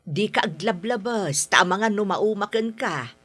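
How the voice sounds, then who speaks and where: A woman's voice: medium pitch, expressive, unhurried, fairly bright tone. An actress playing a patient, middle-aged Ilocano mother in a radio drama, admonishing her grown daughter in a motherly way. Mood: gently chiding. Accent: Ilocano, northern Philippines.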